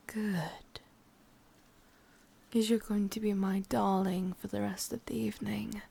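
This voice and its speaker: A woman voicing a sadistic, possessive forest witch in an ASMR roleplay, with an English accent, half-whispering and teasing in drawn-out phrases. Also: mid-range voice, creaky, a little mellow, husky, exaggerated voice. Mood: menacing, possessive.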